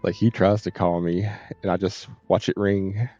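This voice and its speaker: A middle-aged man with a low, dark voice rambles easily as he streams a game. The audio is a little dull, with faint music underneath. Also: little pitch movement, moderate pace. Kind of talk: casual chat while gaming.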